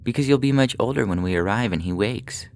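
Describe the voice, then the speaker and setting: A man's voice: lowish voice, a little fast, pitched above the performer's own voice, close to an everyday voice. A man voicing a sweet, innocent little girl in an audio short story, with a childlike, eager way of talking. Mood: eager, proud. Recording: music behind the voice.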